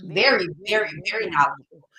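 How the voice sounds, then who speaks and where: Lively, bright-voiced woman host. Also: mid-range voice, fast talker, low-quality recording. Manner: frank, affirming, lots of backchannel. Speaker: podcast host. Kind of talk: casual podcast interview.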